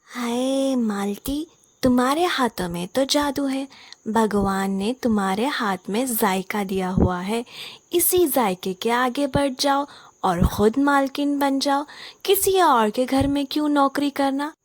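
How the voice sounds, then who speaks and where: A woman voicing a friendly, insistent neighbour in a children's cartoon, animated, effusive and full of coaxing encouragement. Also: sits high, takes it slowly, no echo. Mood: effusive, encouraging. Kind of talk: Hindi children's cartoon story acting.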